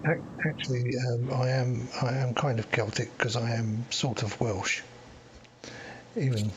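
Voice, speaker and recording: A man's voice: medium pitch, fairly even intonation, a little slow, lo-fi sound. Hesitant man, faint hiss. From England. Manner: self-deprecating, hesitant. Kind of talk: casual discussion.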